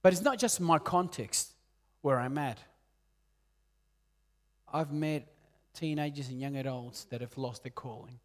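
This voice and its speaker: Male pastor, late 40s, from Peru, mid voice; earnest sermon delivery with loud swells and hushed drops. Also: unhurried, pauses often. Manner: earnest, rises loud on key points and drops soft and low.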